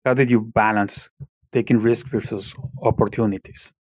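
A man hosting an interview, asking questions conversationally and a little haltingly, repeating words as he goes. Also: on the low side, steady tone, no room sound, clean studio sound. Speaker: interview show host.

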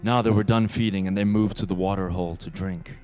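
A man acting as a solemn narrator of a sci-fi radio play, measured and dramatic. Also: deep voice, steady tone, darkish tone, faint music behind, natural voice.

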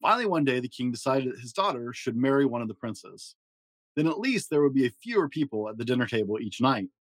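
A man reading a children's fairy tale aloud in a gentle storytelling manner. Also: sing-song, fairly quick, no room sound. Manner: gentle storytelling with varied pitch for emphasis. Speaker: storybook reader.

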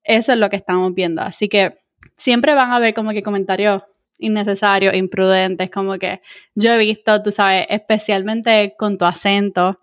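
A woman's voice: medium pitch, fairly even intonation, moderate pace, dry recording, clean studio sound. A woman with a Puerto Rican accent hosting a casual interview, chatty and encouraging, mixing English words into her talk. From Puerto Rico. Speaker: interviewer, host.

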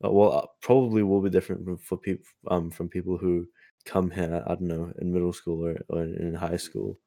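A teenage boy, co-hosting a casual chat in a steady, thoughtful way and asking follow-up questions. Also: low-pitched, fairly quick, no room sound. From New Zealand. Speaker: co-host.

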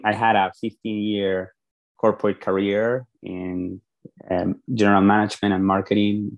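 A man's voice: low-pitched, creaky, rough recording. A man from Peru with a Peruvian Spanish accent, a guest on a podcast, telling his story earnestly and often restarting his sentences. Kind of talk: podcast interview.

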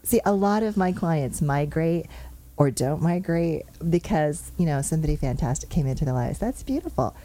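Low, mellow-voiced woman hosting a show. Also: lively intonation. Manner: brisk, upbeat, reels off numbers. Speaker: show host and real estate agent. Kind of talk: chatty show monologue on market figures.

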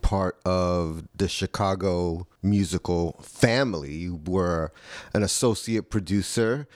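A low-pitched man leading a relaxed interview. He nudges the guest along with short, encouraging remarks. The sound is close and dry. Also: takes it slowly, dry recording. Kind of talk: casual interview.

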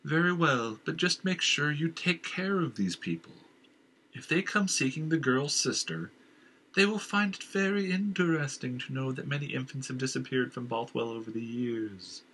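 A man voicing a scornful, disembodied voice inside a king's head, drawing his words out slowly and needling the king. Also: mid-range voice, bright, forceful tone, slightly rough, voice pushed up. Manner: slow, drawn-out, needling delivery.

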